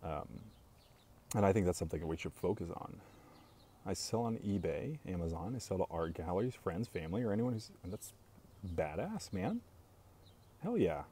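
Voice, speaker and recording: Deep calm monotone man. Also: slight background noise. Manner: speaks slowly and reassuringly to viewers. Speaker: live stream host. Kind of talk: casual live stream chat.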